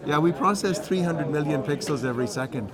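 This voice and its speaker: Guy rattling off tech specs quick at a trade show, loud crowd behind him. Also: fairly high pitch. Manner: fast, technical explainer. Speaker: company representative. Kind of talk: trade show product interview. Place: busy trade show floor.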